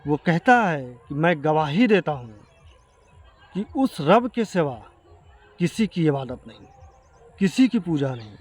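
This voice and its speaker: A man with an Indian accent giving an earnest explanation to viewers, speaking haltingly and repeating himself. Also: a little high, very animated, soft background music. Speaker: video creator addressing viewers. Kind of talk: explanatory talk to camera.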